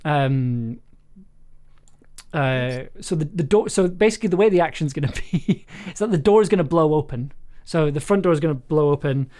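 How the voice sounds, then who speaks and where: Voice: mid-range voice, lively intonation, moderate pace, dark tone. Chatty, giggly producer on a stream. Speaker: audio drama producer directing a voice actor. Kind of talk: casual livestream chat and recording direction.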